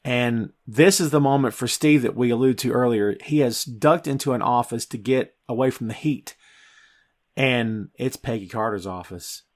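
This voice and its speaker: Guy podcast host talking movies, really emphatic and excitable, talks right to the listeners. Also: mid-range voice, moderate pace. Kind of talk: film review podcast talk.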